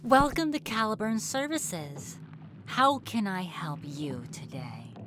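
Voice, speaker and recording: A woman's voice: mid-range voice, big pitch swings, takes it slowly, a bit bright, soft background music. A woman playing a composed, polite receptionist who stays firm, in an audio drama.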